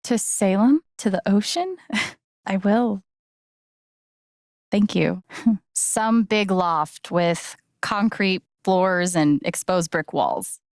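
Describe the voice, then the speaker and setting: A woman playing a sincere, gently wry young game heroine, with an American accent and an understated, conversational delivery. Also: mid-range voice, slow, long pauses, no room sound, clean studio sound. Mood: playful. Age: young adult. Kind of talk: naturalistic video game voice acting.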